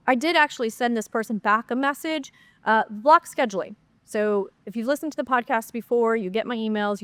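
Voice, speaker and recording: A woman's voice: mid-range voice, no room sound. A woman hosting her own podcast, talking in a chatty, upbeat way with plenty of fillers, her voice a bit nasal and stuffy from a cold. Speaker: podcast host. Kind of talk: solo podcast Q&A, casual chat.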